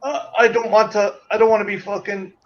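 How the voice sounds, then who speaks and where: A man with an Irish accent chatting casually on a livestream, rambling and swearing, with halting restarts. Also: sits high, level tone, lo-fi sound.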